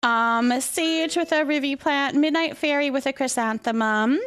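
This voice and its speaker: A woman's voice: high voice, measured pace, a bit bright. A woman hosting a livestream, chatting casually and greeting viewers in a bubbly, affectionate, chatty way. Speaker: live streamer hosting.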